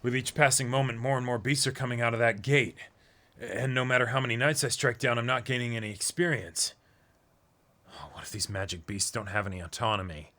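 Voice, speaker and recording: A man's voice: medium pitch, steady tone, a little fast, pushed and bright. A man casually reading a comic aloud and commenting on it as co-host of a read-along.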